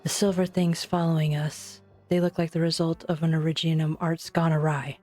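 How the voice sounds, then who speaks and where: A woman playing a weary, guilt-ridden researcher in an English fan dub, speaking in a grave, measured, confessional manner. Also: low voice, flat delivery, a little mellow, faint music behind. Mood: resolute.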